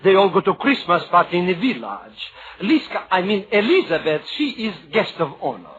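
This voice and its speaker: An excitable uncle in a 1940s radio comedy. His high voice leaps up and down as he blurts out eager, flustered broken English. The old recording is muffled. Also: moderate pace. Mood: sheepish. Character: excitable, warm-hearted foreign uncle, comic and eager.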